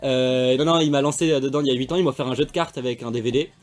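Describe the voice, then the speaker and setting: Fast-talking young French man, slangy. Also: medium pitch. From France. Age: young adult. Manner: fast slangy rambling talk. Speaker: magician guest. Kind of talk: casual interview chat.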